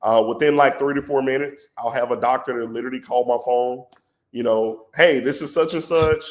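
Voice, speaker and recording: A man with a head cold and a congested voice, a company president talking as a podcast guest in an animated, persuasive way. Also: medium pitch, fairly quick. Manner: animated and persuasive, says "bro" a lot. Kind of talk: casual podcast conversation.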